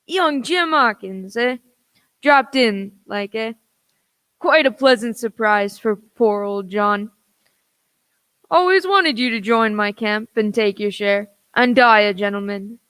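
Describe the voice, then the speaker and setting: A woman's voice: a little high, slow, a bit bright, clear, resonant tone, no room sound. A woman acting a sly, commanding old male pirate leader in a radio play, with theatrical, piratical phrasing. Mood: startled, then gloating.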